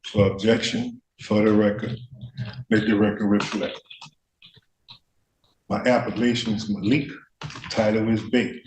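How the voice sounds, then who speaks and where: A man's voice: on the low side, level tone, a little slow, pauses often. A man speaking as a defendant in court, insistently reciting terse, repetitive legal-sounding objections. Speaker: defendant representing himself in court. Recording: echoey room, faint background noise.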